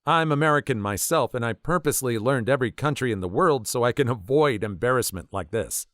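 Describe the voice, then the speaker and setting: Man narrating with very lively pitch. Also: brisk, no echo, studio quality. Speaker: narrator of online story compilations. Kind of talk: scripted narration of read-out stories.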